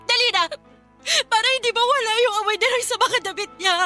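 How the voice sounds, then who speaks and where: A woman's voice: very high voice, brisk, pushed and bright, soft background music. An actress playing a grieving, pleading young woman in a Filipino radio drama, with a Filipino accent and a tearful delivery. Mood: desperate pleading.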